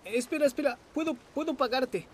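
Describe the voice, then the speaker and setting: A man's voice: extremely high pitch, brisk, a little hiss or hum. An actor dubbing an awkward teenage boy who pleads and stammers nervously. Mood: pleading. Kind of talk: Spanish film dub.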